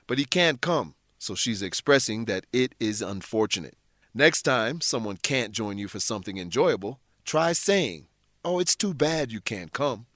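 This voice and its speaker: A man reading scripted English lesson narration, explaining things carefully and clearly as the presenter. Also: medium pitch, a bit bright, no echo, studio quality.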